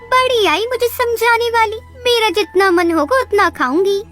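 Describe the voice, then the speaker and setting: Woman voicing a very high, childlike cartoon bird wife. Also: moderate pace, fairly bright tone. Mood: defensive. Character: stubborn, gluttonous chubby female cartoon bird wife. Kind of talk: animated Hindi moral story dialogue. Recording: music bed behind the voice.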